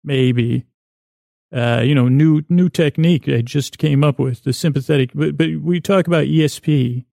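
Creaky-voiced man, rambling and mellow. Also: fairly high pitch, dry recording, studio quality. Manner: meandering, halting, trails off into unfinished sentences. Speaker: podcast host. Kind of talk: rambling bedtime podcast monologue.

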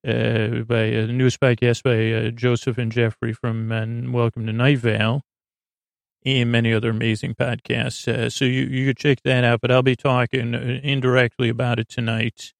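A man hosting a bedtime podcast in a rambling monologue, halting and often losing his thread, silly and reassuring. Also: medium pitch, level tone, gravelly, no room sound. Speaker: podcast host.

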